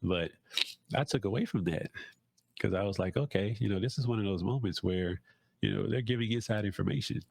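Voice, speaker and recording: Stuffy nasal guy with a cold, deep and gravelly, rambling solo podcast chat. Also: fairly quick, a little mellow, no echo. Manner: rambling, many fillers and restarts. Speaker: podcast host. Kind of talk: casual solo talk.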